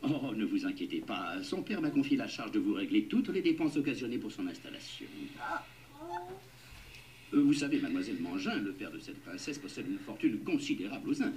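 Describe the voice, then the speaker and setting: An actor playing a formal, courteous and particular gentleman agent in a French-dubbed cartoon drama. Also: fairly low pitch, big pitch swings, fairly quick. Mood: reassuring. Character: fussy, courteous gentleman agent for a wealthy father. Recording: distant, noisy old TV dub.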